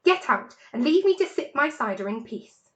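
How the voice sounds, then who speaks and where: A woman voicing a small, sharp-faced male rat who snaps and shrieks his retorts in a children's story. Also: high-pitched, big pitch swings, moderate pace, lo-fi sound, voice pushed up. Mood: indignant, shrieking.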